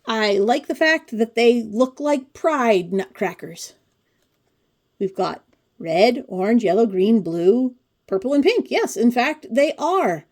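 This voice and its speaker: A woman's voice: a little high, animated, takes it slowly, a little mellow. A woman in her forties hosting a craft video, talking casually through a flip-through with chatty, wry asides. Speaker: craft channel host. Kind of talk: casual commentary on a pattern book flip-through.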